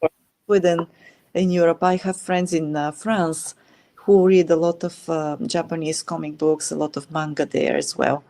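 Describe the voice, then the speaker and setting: A middle-aged woman, interviewing in a relaxed chat and pausing often to think with fillers. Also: low-pitched. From Bulgaria. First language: Bulgarian. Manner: thoughtful, many filler pauses. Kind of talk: casual conversation.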